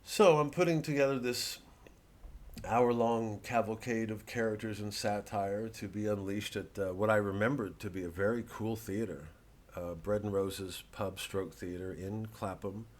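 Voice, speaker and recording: A man with a fairly low voice talks casually, like a comedian plugging a gig to camera. The delivery wanders and digresses, with a dry, mock-earnest edge. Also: normal speed. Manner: rambling, digressive. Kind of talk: casual vlog promoting a live show.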